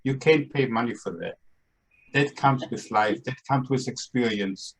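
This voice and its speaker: A man's voice: mid-range voice, measured pace, low-quality recording. Upbeat older man with a Brooklyn accent. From Austria and Brooklyn, New York. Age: 70s. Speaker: podcast host. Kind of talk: casual podcast monologue.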